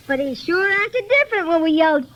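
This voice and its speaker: A very high, piping voice plays an eager little girl in old-time radio drama, earnest and emphatic in her delivery. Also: animated, brisk, a bit bright. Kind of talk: 1930s children's radio serial acting.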